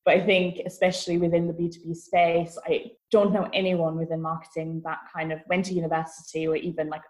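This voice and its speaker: A woman from Austria with a somewhat low voice, talking as a guest on a marketing podcast. She chats in an upbeat, easygoing way and thinks aloud as she answers. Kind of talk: casual interview chat.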